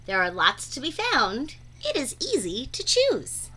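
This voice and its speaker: A woman reads a picture book aloud for young children with a bright, crisp-edged voice. Her pitch swoops through a lively range, and she takes her time over each phrase like a teacher. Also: measured pace, a little hiss or hum. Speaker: read-aloud storyteller.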